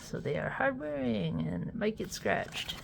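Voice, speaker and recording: A woman with a cold, sniffly, doing an unboxing with a halting, hesitant way of talking and many fillers. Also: on the high side, sing-song, creaky, noisy background. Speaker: unboxing video host. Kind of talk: casual unboxing commentary.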